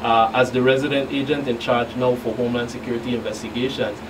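A middle-aged man from the U.S. Virgin Islands speaking as a law enforcement guest in a halting, deliberate way. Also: medium pitch, little pitch movement. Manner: halting, deliberate, hesitant phrasing. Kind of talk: talk show interview. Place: small radio studio. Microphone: studio boom microphones in view. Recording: distant mic, clearly noisy room hum.

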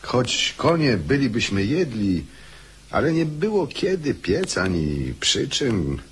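An actor playing a worn-out, aggrieved soldier in a radio drama, with a plaintive manner. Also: male voice, medium pitch, big pitch swings, slow pace, husky, heavily acted. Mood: weary, suffering. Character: worn-out, aggrieved soldier recalling hunger and hardship.

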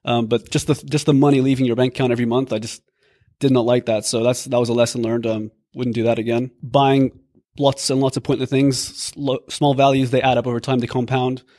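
Man, quick talker, dry close sound. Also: medium pitch, flat delivery, a little mellow, no room sound. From Brazil. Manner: friendly, reflective, many ums. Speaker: discussion participant.

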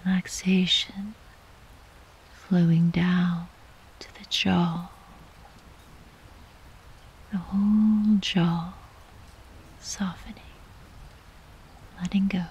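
Whispering woman guiding slow sleep meditation. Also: lowish voice, little pitch movement. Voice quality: soft, breathy, whispery. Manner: soothing, gentle, unhurried with long pauses. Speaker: yoga nidra meditation guide.

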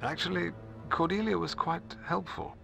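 An actor playing a proper, cautious middle-aged scholar and mentor in a video game, speaking formally and earnestly. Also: male voice, on the high side, normal speed, creaky, music in the background. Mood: earnest, instructive.

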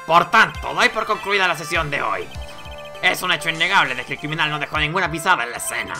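A performer voicing a stern but befuddled old male judge in a game, solemn and deliberate. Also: medium pitch, expressive, over music, natural voice. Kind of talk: video game dialogue read aloud with character voices.

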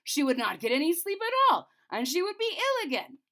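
A woman voicing a hot-tempered, worried storybook king in a loud, commanding, exasperated way. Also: high voice, very animated, fairly quick, pitched above the performer's own voice, exaggerated voice. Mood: furious.

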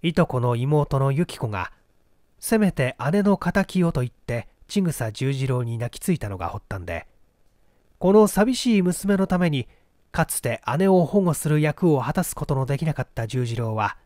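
Male narrator, high-set voice, expressive range, measured storytelling, dramatic literary reading, dry sound. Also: no room sound. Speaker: audiobook reader, stage performer.

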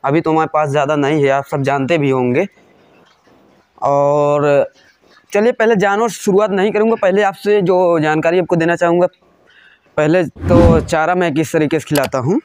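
A man's voice: on the high side, steady tone, normal speed, a little mellow, clear, resonant tone. A pig farmer giving a casual, rambling walkthrough of his farm shed, talking to viewers as friends and recorded on a handheld phone. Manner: rambling, many fillers, addresses viewers as friends.

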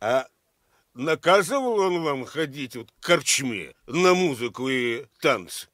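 An actor playing a stern, self-important village elder in a radio play, questioning people pompously. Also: male voice, medium pitch, big pitch swings, unhurried, a bit bright, dry recording, studio quality. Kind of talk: Soviet radio play acting.

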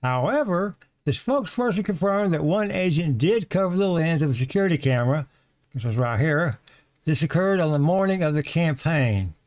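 A man's voice: fairly high pitch, lively intonation, moderate pace, a little mellow. A man reading a news article aloud from his screen and breaking off for sarcastic asides and chuckles, recorded on a desktop microphone. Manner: reads text aloud, then adds sarcastic asides and chuckles. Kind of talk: casual commentary on a read-aloud article.

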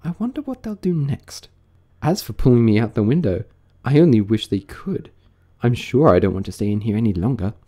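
A man's voice: medium pitch, lively intonation, brisk, warm tone, close to an everyday voice. A man voicing a curious little girl in a storybook, prim and chatty as she questions herself. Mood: wondering. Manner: musing, prim, self-questioning.

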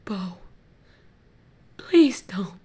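A woman's voice: medium pitch, sing-song, extremely slow, mellow. A woman playing a frail, ailing old mother, desperate and loving, pleading urgently in an audio drama. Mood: pleading, distressed.

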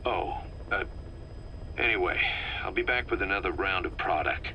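A man's voice: low voice, moderate pace, lo-fi sound. An actor playing a wry, businesslike military man with an exasperated edge and casual phrasing, in a video game. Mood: eager, businesslike. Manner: casual, wry, hesitant asides. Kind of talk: scripted video game drama. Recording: faint background noise.

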